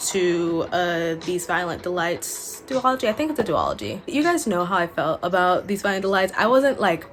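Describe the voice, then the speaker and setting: A woman's voice: fairly low pitch, expressive, moderate pace, a little hiss or hum. A woman in her twenties chatting informally and emphatically to camera like a book vlogger. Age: 20s. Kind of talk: casual chat to camera. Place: small furnished room at home, indoors. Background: background music.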